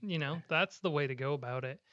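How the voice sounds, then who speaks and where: A young man hosts a podcast in an upbeat, playful way, his voice a touch high and smooth. The sound is dry and slightly dull, like a lo-fi recording. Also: fairly quick, bright, forceful tone. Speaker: podcast host. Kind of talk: casual interview chat.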